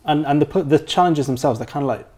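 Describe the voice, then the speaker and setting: A man's voice: a little high, fast, a little mellow. A man hosting a game developer video series, playful and casual as he presents and interviews. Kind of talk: upbeat scripted intro and outro, casual interview between.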